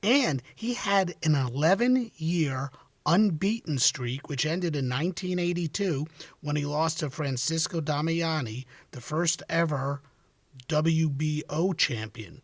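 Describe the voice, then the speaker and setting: A man hosts a sports interview podcast. His voice sits a little high, and the sound is dry with no room echo. He talks conversationally as he comments and puts questions to a guest. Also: a little slow. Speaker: podcast host.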